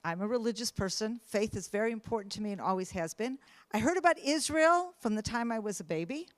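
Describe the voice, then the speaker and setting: Earnest woman with a high, dry voice. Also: lively intonation, fast talker, no room sound. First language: English. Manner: earnest, emphatic storytelling with rising questions. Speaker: speaker. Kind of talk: personal testimony talk.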